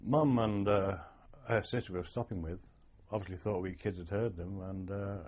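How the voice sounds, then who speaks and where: An older man tells his memories in a wry, reflective way. His voice is low, husky and mellow. It comes through the dull sound of an old archive tape. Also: steady tone, moderate pace. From England. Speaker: oral history interviewee, former navy sailor. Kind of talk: reminiscing oral history interview.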